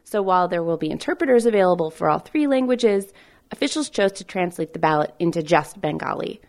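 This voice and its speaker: A woman radio reporter narrating a news feature in a clear, measured way, with friendly questions in her interviews. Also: fairly low pitch, expressive, darkish tone.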